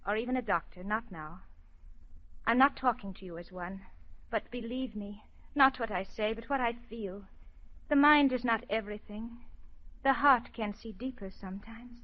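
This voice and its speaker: A woman's voice: a little high, normal speed, close to an everyday voice. An actress playing an earnest, devoted young woman psychiatrist who pleads urgently, in 1940s radio acting. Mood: pleading. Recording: dull 1940s radio broadcast.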